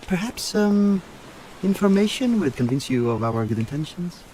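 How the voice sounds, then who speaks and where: An actor playing an oily Italian underworld go-between in a video game, insinuating and falsely friendly, with an Italian accent. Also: male voice, high-pitched, sing-song, moderate pace, mellow, slight background noise, poor audio. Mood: ingratiating. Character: sly, ingratiating Italian mafia go-between. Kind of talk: video game cutscene acting.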